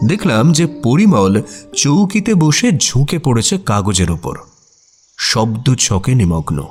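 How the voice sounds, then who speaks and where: A man's voice: mid-range voice, very animated, slow, soft background music. A man playing the detective's curious, amused companion, narrating a scripted radio mystery story in a dramatic style. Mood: vivid storytelling.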